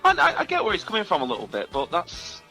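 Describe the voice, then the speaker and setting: A man's voice: high voice, wildly swooping pitch, fast, over music, low-quality recording. A man speaking casually as a musician guest in an interview, self-deprecating and rambling a little hesitantly.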